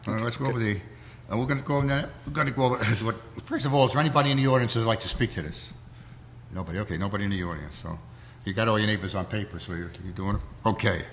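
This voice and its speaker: Hoarse man chairing a town meeting. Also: lowish voice, rapid-fire, a little hiss or hum. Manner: plain-spoken, folksy, many fillers. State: hoarse with a cold. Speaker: zoning board chair. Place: town hall meeting room.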